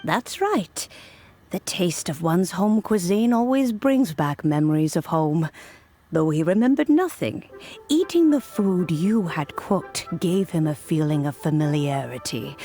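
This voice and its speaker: Kindly genteel old lady for a game, really slow and gentle, bit of music behind. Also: on the low side, animated. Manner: gentle, unhurried, old-fashioned genteel phrasing. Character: serene elderly woman master, wistful and kindly. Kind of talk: video game cutscene acting.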